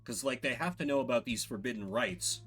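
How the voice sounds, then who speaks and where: Male streamer, bright and very lively, casual playthrough chat and narration over faint game music. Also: mid-range voice, brisk. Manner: playful, expressive. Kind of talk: casual playthrough commentary with dramatic reading aloud of game text.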